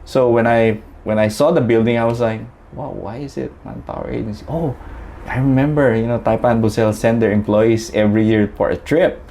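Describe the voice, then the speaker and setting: A man's voice: mid-range voice, a little fast, creaky, warm tone, a little hiss or hum. A man from the Philippines, a guest telling his story in a chatty way, full of fillers and restarts. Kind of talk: casual storytelling interview.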